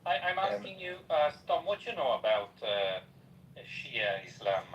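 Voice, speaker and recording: A man's voice: a little high, very animated, measured pace, a bit bright, echoey room, lo-fi sound. A man in a heated podcast debate who interrupts and keeps repeating himself insistently. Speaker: podcast panelist. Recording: distant mic, faint background noise.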